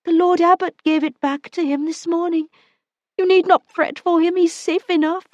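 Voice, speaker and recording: A woman voicing a frantic young woman who pleads in a high, trembling voice. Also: steady tone, moderate pace, no echo, highs cut off. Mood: desperate pleading.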